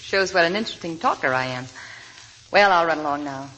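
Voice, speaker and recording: An actress playing a wounded, weary young wife of a convicted killer, in 1940s radio drama style. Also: female voice, deep voice, expressive, a little fast, a bit bright, slight background noise, rough recording. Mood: wistful. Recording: vintage radio broadcast, music underneath.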